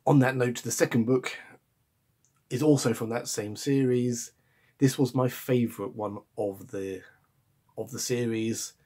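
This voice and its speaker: A man in his forties with a croaky voice from a cold, chatting enthusiastically about books to camera at home, with frequent ums and restarts. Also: mid-range voice, steady tone, unhurried, mellow. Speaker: book reviewer. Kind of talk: casual book chat to camera. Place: home room in front of bookshelves. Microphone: camera or webcam at close range.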